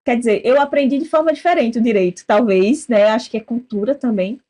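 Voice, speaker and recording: A woman from southern Brazil with a southern Brazilian accent, hosting a casual interview and telling lively stories that act out quoted dialogue. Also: on the high side, fairly quick. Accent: southern Brazilian Portuguese. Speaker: podcast host.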